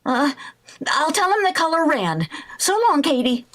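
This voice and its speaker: A woman playing a flustered, scheming housewife in old-time radio comedy, expressive and exclaiming. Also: high-pitched, measured pace, over music. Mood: dismayed.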